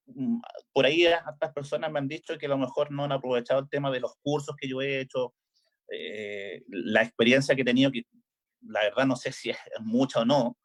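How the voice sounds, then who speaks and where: A middle-aged Chilean man, a volleyball coach, talking casually in an interview with frequent hesitations and restarts. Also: mid-range voice. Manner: hesitant, many filler "eh"s and restarts. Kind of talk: relaxed interview chat.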